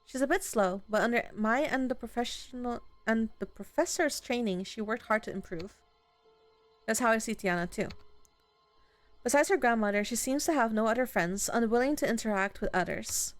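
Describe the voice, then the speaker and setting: A woman with a fairly high voice plays a visual novel. She reads the dialogue aloud and adds casual reactions of her own, with some music playing behind her. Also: fairly quick, dry recording.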